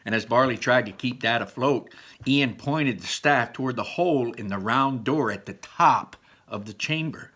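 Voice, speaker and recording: A man's voice: medium pitch, moderate pace, pushed and bright. A man reading aloud to children as a storyteller, dramatic and emphatic, with simple explanatory asides.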